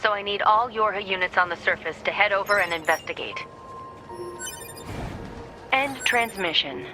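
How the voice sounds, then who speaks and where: A woman's voice: mid-range voice, normal speed, over music, lightly acted. A woman playing a stern female military commander giving formal orders in a video game. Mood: grave, commanding. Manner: formal, authoritative.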